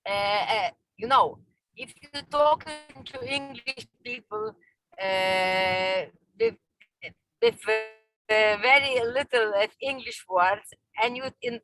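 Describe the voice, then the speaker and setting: A woman in her sixties with a Lithuanian accent speaks slowly in a high voice, searching for her English words with many fillers. Her tone is bright, and the call sound is dry. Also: dry recording, rough recording. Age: 60s. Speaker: guest. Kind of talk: online meeting talk. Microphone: video call.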